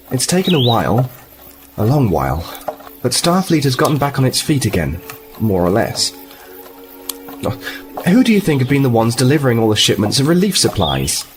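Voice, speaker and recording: An actor playing a polite, earnest and somewhat halting envoy from a space fleet in a science fiction audio drama. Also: male voice, mid-range voice, big pitch swings, noisy background, lightly acted. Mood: earnest, persuasive. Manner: apologetic, halting, then insistent. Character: polite, earnest, hesitant Starfleet envoy on assignment.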